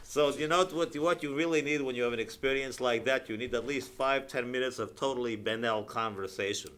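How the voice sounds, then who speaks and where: A man hosting a radio show, trading joking banter with quick, wisecracking comebacks. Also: mid-range voice, a bit bright. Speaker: radio host.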